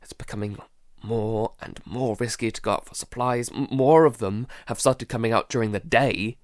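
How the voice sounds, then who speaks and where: A man playing an anxious, sleepless recluse recording a halting, weary diary in an audio drama. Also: dry recording, clean studio sound, close to an everyday voice. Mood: weary, wary. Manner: halting, sighing, low-key and weary. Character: Jason, anxious, sleepless man barricaded at home, keeping a diary. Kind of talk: audio drama, first-person diary monologue.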